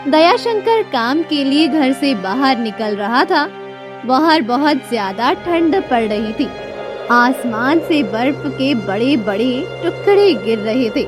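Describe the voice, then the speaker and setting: A woman narrating a scripted cartoon story in a dramatic, deliberate storytelling manner. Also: sits high, lively intonation. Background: music.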